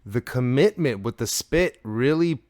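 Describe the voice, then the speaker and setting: Comedy podcast host, man, mid pitch, dry mic, excitable and crude. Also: unhurried, no room sound. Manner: loud, excitable, swears, laughs often. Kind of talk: improvised comedy sketch chat.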